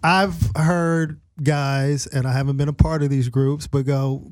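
A man's voice: mid-range voice, fairly even intonation, unhurried, no echo, clean studio sound. A man co-hosting a casual group chat, joking and chuckling as he makes his points.

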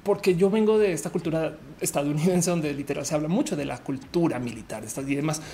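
A woman from Colombia hosts a livestream in a low, mellow voice. She talks casually and rambles, with many fillers and restarts. A faint hum of room noise sits behind her. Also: quick pace. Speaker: live show host. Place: lives in Mexico.

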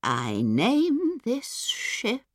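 Sing-song 99-year-old headmistress. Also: male voice, very high-pitched, wildly swooping pitch, drawn-out words, no echo, studio quality, voice pushed up, full character voice. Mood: doddery, stately. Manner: rambling, forgetful. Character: dozy 99-year-old headmistress, sweet and muddled. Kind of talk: storybook character voice.

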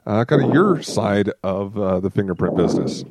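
A man's voice: fairly low pitch, lively intonation, normal speed, a little mellow. A man hosting a podcast, chatting casually with many ums and uhs. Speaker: podcast host. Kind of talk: casual chat.

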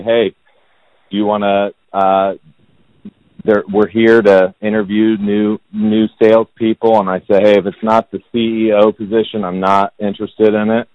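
A man in his thirties from Indianapolis, a real estate agent talking about himself as a podcast guest in a monotone, self-deprecating way. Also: fairly low pitch, no room sound. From Indianapolis, United States. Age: 30s. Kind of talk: casual podcast interview. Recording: dull, lo-fi sound.

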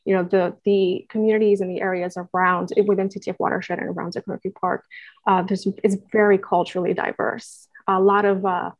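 A woman's voice: mid-range voice, dry recording, dull audio. Earnest woman from Puerto Rico, dry sound. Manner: earnest, many fillers and restarts. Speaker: guest, nonprofit staff member. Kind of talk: conversational interview answer.

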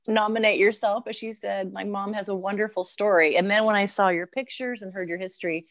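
A woman's voice: mid-range voice, steady tone, brisk. A woman hosting a podcast, bubbly and enthusiastic, rambling a little and apologizing as she asks her questions. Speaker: podcast host, former dancer. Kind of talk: casual remote interview.

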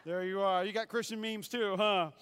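Very high-voiced male pastor from North Dakota. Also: normal speed, clean tone. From North Dakota, USA. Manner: playful, animated, quizzes the congregation. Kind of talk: humorous sermon with call-and-response.

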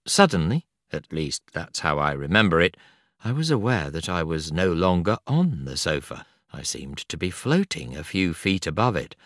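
Deep-voiced man narrating an audiobook. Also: no room sound, studio quality. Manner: measured, articulate, expressive reading. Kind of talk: scripted non-fiction audiobook narration. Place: studio.

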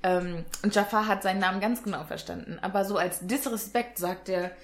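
A woman's voice: medium pitch, fairly quick. A woman in her twenties co-hosting a podcast in casual chat, enthusiastic and laughing often. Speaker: podcast co-host.

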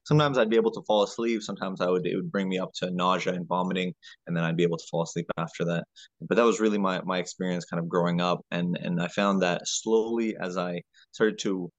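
A man, a health coach answering as a podcast guest in a halting, conversational way, often repeating words. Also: deep voice, steady tone, no room sound, muffled recording.

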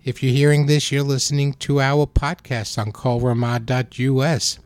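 A man's voice: mid-range voice, fairly even intonation, normal speed, a little mellow, no echo. A man hosting a casual radio interview at a summer camp, chatty and rambling with fillers and restarts.

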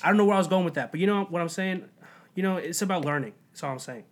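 Rambling, joking teenage guy, fairly high. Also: rapid-fire. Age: teens. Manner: joking, rambling, swears freely. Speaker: podcast co-host. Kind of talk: casual chat.

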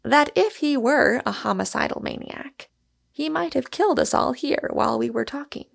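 A witty, grandiloquent gentleman, voiced by a woman, declaiming with playful solemnity. The voice is cheerful and a little creaky, in storybook style. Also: sits high, expressive, moderate pace, pitched above the performer's own voice, exaggerated voice. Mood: pointed.

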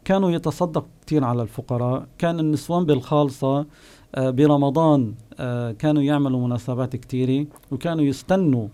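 A man with a Palestinian Bedouin accent, a guest recalling his home village, pausing often with long filler sounds. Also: mid-range voice, warm tone, smooth. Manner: unhurried storyteller, pauses often with drawn-out "ah" fillers. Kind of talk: reminiscing oral history on a call-in show.

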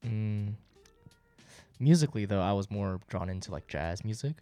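Young man, deep and mellow, Japanese native. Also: moderate pace, no room sound. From born in South Korea, raised in Japan. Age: 20s. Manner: relaxed, leans on "you know" and "like" fillers. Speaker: R&B artist and producer. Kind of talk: casual interview talk.

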